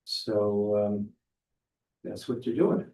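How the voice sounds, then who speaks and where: Distant, echoey man with a mellow voice. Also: lowish voice, fairly even intonation, gravelly. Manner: halting, hesitant. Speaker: presenter of a project to the board. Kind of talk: unscripted explanation at a public meeting. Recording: echoey room, distant from microphone.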